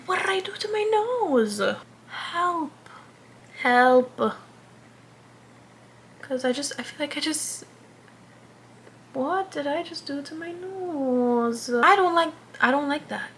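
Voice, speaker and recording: A woman's voice: high-pitched, animated, takes it slowly, long pauses, a bit bright, slight background noise. A woman in her twenties chatting casually while doing her makeup, rambling and interrupting herself, sometimes dropping into a whisper. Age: 20s. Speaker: vlogger.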